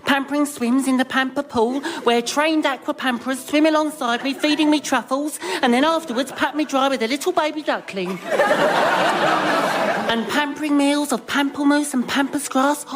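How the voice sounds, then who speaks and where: An actress playing a self-important, pampered spa guest outdoing everyone else in radio sketch comedy. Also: female voice, high-pitched, moderate pace, natural voice. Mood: smug, self-important.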